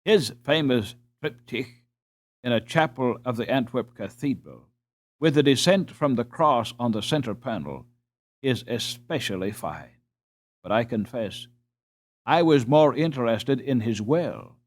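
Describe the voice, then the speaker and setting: Genteel storybook gentleman with animated intonation, read by a man. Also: medium pitch, measured pace. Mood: genteel enthusiasm. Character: courteous, cultured Dutch gentleman host, enthusiastic about art.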